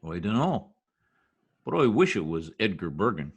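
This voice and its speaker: A man's voice: low voice, big pitch swings, normal speed, breathy edge, lo-fi sound, exaggerated voice. Man playing a swooping, blustering American census taker. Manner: slangy, pompous, mangles words. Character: blustering, folksy, middle-aged American husband, bumbling census taker. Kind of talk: old-time radio comedy acting.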